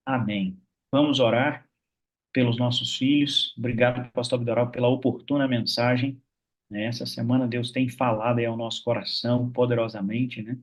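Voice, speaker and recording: A man's voice: mid-range voice, fairly even intonation, moderate pace. Chatty Brazilian man hosting a church call. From Minas Gerais, Brazil. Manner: warm, chatty, many fillers like "né". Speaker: host of an online prayer meeting. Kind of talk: casual church meeting hosting. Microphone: video call.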